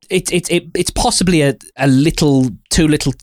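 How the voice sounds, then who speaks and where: A man's voice: fairly high pitch, moderate pace, dry recording, studio quality. A man co-hosting a podcast in casual conversation, speaking in a hesitant, stop-start way with repeated words. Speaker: podcast co-host who runs a podcast hosting company. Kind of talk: casual chat.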